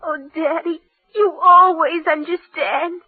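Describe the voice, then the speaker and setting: A woman's voice: very high voice, steady tone, slow, faint music behind. A woman playing a possessive young daughter who feigns sweet innocence, in old-time radio drama style. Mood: tearful, clinging. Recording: tinny old radio recording.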